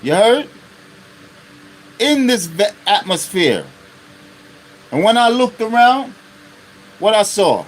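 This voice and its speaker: A man's voice: high-pitched, very animated, takes it slowly, long pauses, a little hiss or hum, poor audio. Blunt, profane man in his fifties. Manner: blunt and profane, with constant rhetorical check-ins. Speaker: live-stream host. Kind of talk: unscripted live monologue.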